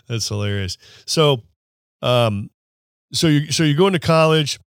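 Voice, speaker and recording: A man's voice: medium pitch, lively intonation, slow, creaky, no room sound, clean studio sound. A middle-aged man hosting an interview podcast, rambling, chuckling and trailing off. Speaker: podcast host. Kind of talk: casual interview.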